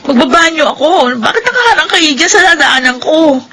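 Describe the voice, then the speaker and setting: A woman's voice: high-pitched, lively intonation, brisk, bright, forceful tone, slight background noise. A woman playing a defiant, vengeful young mother in a Filipino radio drama, combative and indignant.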